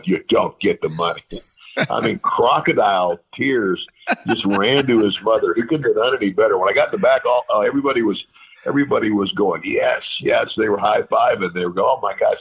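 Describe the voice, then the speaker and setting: Deep-voiced man on a tinny phone line. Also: a little fast, creaky, husky. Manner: rambling anecdotes with many fillers and quoted dialogue. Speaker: guest, retired wrestler. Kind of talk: casual storytelling interview.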